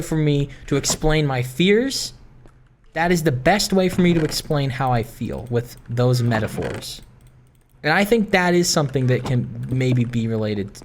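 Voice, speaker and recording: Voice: mid-range voice, slight background noise. A gaming video host giving a casual, introspective monologue, rambling and reflective, often circling back to restate a point.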